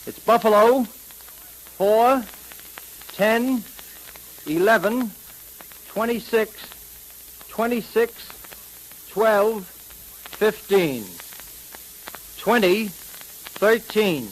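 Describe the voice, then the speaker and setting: An American actor playing an eager, boyish police sidekick in slangy 1930s radio style. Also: male voice, sits high, drawn-out words, long pauses, poor audio. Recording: hissy 1930s radio recording.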